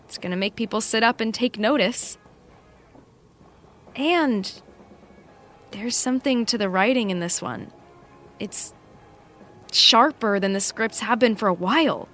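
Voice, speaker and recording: High-voiced, dryly pointed woman colleague. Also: measured pace, pauses often, fairly bright tone, soft background music. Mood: frank, measured. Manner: opinionated, pointed, sarcastic. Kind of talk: naturalistic audio drama acting.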